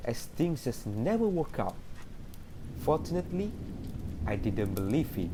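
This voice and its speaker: A man's voice: mid-range voice, warm tone, slight background noise. A man playing an earnest, troubled therapy patient giving a confessional monologue in an amateur radio drama.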